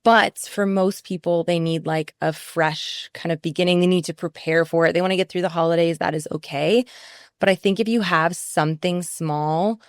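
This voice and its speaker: A woman with a slightly low voice hosts a podcast. She talks casually and agrees often, and the sound is dry and close to the mic. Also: steady tone, normal speed, no echo. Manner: casual, agreeable, frequent "yeah". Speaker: podcast host, fitness coach. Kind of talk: conversational podcast interview.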